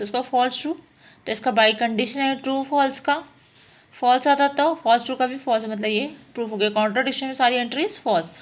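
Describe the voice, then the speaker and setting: A woman teaching a mathematics lesson, explaining step by step and often answering her own questions. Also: high-pitched. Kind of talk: informal spoken lesson, working through problems.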